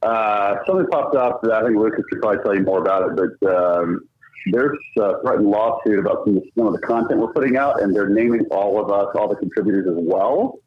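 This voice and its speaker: A man's voice: lowish voice, quick pace, slight background noise. A man bantering casually and improvising prank calls as a podcast guest, heard over a lo-fi connection. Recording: dull, lo-fi phone-like connection.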